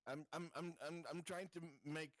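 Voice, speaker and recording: A man playing a tabletop role-playing game, chatting casually with a halting, stammering delivery. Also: fairly high pitch, steady tone, a bit bright, dry recording, studio quality.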